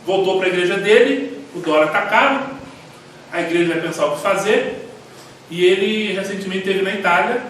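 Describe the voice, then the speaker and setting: A man with a Brazilian Portuguese accent, telling stories in a rambling, animated way while teaching a church class. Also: sits high. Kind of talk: informal church class talk. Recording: echoey hall, mic far off, faint noise.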